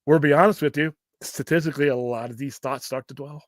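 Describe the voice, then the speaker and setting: Gruff, rambling American man hosting a livestream. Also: on the high side, steady tone, fast talker. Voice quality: gruff, self-described Cookie Monster voice. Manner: rambling, off-the-cuff, casually profane. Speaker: host of a pop culture livestream show. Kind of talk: casual livestream chat, question and answer.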